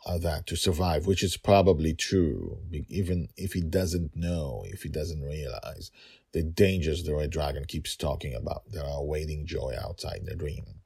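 A middle-aged man narrating a story podcast as its host and author, deliberate, with dramatic pauses and emphatic repetition. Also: rumbling low, vocal fry, a little mellow, husky.

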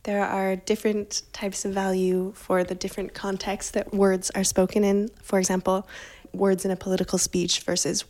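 A woman's voice: mid-range voice, little pitch movement, normal speed, few pauses, darkish tone. A woman interviewing an artist, asking long curious questions and laughing easily. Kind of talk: interview conversation.

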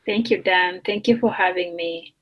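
A woman from Kenya, a doctoral student, talking slowly and thoughtfully with fillers as a guest on a remote podcast interview. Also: lowish voice, lo-fi sound. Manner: slow, thoughtful, with fillers and restarts. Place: remote call.

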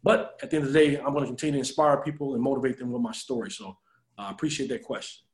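A man's voice: medium pitch, fairly even intonation, very fast. An American man being interviewed as an author, telling personal stories in a reflective, earnest way.

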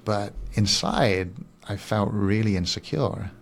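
A Swiss-born man in his thirties, a guest coach on a radio interview, speaking in a soft, reflective way and often repeating words as he gathers his thoughts. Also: lowish voice, slow pace, gravelly. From Switzerland.

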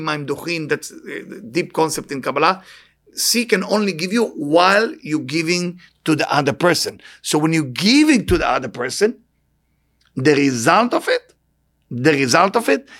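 A man's voice: on the high side, fairly bright tone. A man with an Israeli accent giving an inspirational talk as a spiritual teacher, emphatic and repeating short phrases. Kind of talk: inspirational talk with anecdotes to a live audience.

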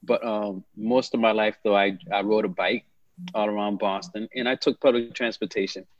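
A man's voice: on the low side, steady tone, normal speed, no echo, lo-fi sound. A man in his fifties, originally from Haiti, telling his life story as an interview guest in an easygoing way. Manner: easygoing, repeats words as he talks. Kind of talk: relaxed storytelling in conversation.

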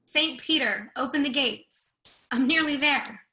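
A woman's voice: sits high, a little slow, sharp tone, low-quality recording. An actress playing a brash, blunt, teasing Irish woman with a thick brogue in a stage-play reading. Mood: weary, wry. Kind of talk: readers theatre stage-play acting.

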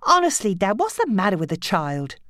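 A woman's voice: mid-range voice, wildly swooping pitch, a little fast, a bit bright, no echo, clean studio sound, pitched above the performer's own voice. A woman voicing an exasperated, bossy middle-aged mother in an audiobook novel, nagging and scolding. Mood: impatient, bossy.

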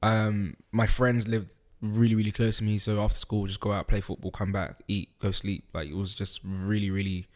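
A man, a podcast guest talking through his childhood in an easygoing, unhurried way with frequent ums. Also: on the low side, level tone, a little mellow. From East London, UK. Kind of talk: casual interview chat.

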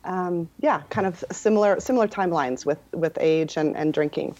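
Woman in her forties, podcast guest, lowish voice, earnest, halting, muffled lo-fi sound. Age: 40s. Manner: warm, earnest, halting with many restarts. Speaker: guest, nutritionist and health coach. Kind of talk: casual podcast interview. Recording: dull lo-fi remote line.

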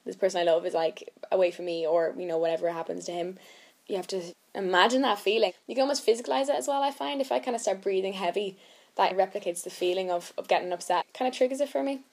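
Young woman, Irish accent, medium pitch, casual animated interview chat, actress guest. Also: quick pace. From Ireland.

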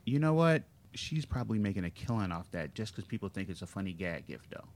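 A man's voice: lowish voice, sing-song, quick pace. A man hosting a casual comedy podcast, reading out odd news stories with wry asides. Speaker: podcast host.

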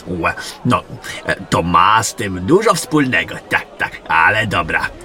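A man playing a jovial, gruff veteran general in a fantasy audio drama, muttering, hesitating and repeating himself. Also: low voice, very animated, unhurried, sharp tone, full character voice. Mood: evasive, amused.

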